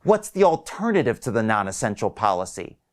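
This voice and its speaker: A man's voice: on the low side, sing-song, a little fast. A man reading his own short story as a scripted first-person narration.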